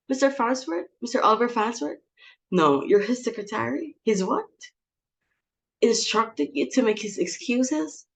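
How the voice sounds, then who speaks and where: A woman's voice: medium pitch, low-quality recording. A woman playing a snobbish, easily exasperated society mother and hostess in a dramatic reading of a stage comedy, with a fussy, scolding delivery. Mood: indignant.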